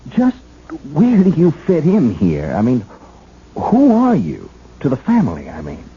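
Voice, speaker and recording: An actor with an American accent playing a young, nosy police officer in a 1970s radio drama, folksy and awkward, stammering but dogged. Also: male voice, fairly high pitch, wildly swooping pitch, measured pace, dark tone, full character voice. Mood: awkward, probing. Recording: muffled, noisy old radio broadcast.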